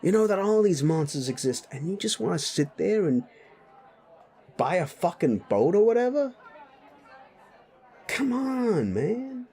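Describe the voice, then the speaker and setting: A man's voice: sits high, sing-song, unhurried, long pauses, dark tone, breathy edge, a faint crowd, heavily acted. A man playing a sleazy, bullying, foul-mouthed werewolf boss in a roleplay audio drama, blunt and needling. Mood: exasperated, scornful. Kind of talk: immersive roleplay audio drama addressed to listener.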